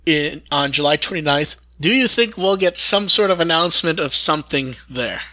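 Bright, higher-voiced man hosting a chat. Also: animated, moderate pace. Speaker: podcast host. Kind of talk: casual fan news chat.

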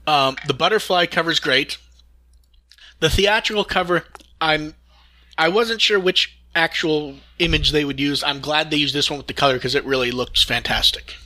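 A man's voice: fairly high pitch, moderate pace, bright, forceful tone. A man hosting a podcast, going through news in a casual discussion style. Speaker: podcast host.

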